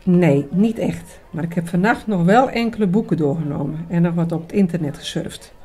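A woman's voice: fairly low pitch, normal speed, dark tone, husky. A woman playing a priest's curious, familiar companion in audio drama dialogue, speaking casually.

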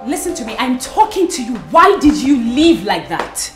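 Indignant high-voiced girlfriend. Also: sing-song, moderate pace, music in the background. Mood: indignant, demanding. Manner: demanding, defiant. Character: indignant, entitled young girlfriend. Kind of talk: screen drama acting.

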